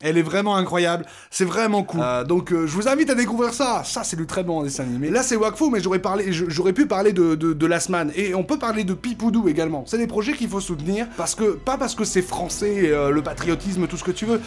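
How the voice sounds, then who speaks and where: A man's voice: sits high, animated, brisk, hardly any pauses. A man giving casual, humorous reaction commentary as a show host, in slangy, crude, joking language. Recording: music behind the voice.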